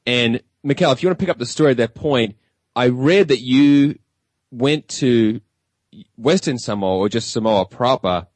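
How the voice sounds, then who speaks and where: A man's voice: mid-range voice, moderate pace, no echo. A man hosting a radio show, interviewing in a conversational style. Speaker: radio host.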